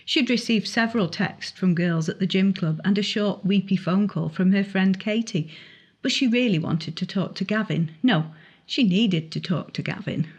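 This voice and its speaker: A woman's voice: medium pitch, moderate pace, breathy edge. A woman reading her young adult novel aloud as dramatic audiobook narration. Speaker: author reading own novel excerpt.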